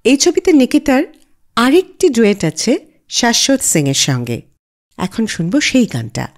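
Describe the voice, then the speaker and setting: A woman's voice: fairly high pitch, huge pitch swings, moderate pace. A woman with an Indian Bengali accent presenting a scripted film music radio show as its host.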